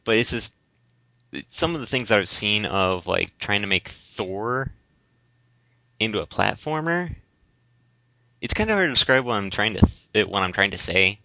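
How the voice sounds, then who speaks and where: A man in a casual discussion about games, explaining things in a halting, rambling way as a podcast host. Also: lowish voice, animated, gravelly, bright, forceful tone, no echo. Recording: dull lo-fi recording.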